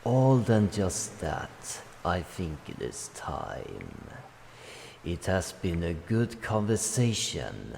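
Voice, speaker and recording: A man voicing an ancient dragon guardian, solemn, calm and kindly, speaking slowly in formal, measured phrases in a roleplay addressed to the listener. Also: heavily acted. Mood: solemn, resolute. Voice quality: deep, gravelly. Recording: long cavernous reverb, faint background noise.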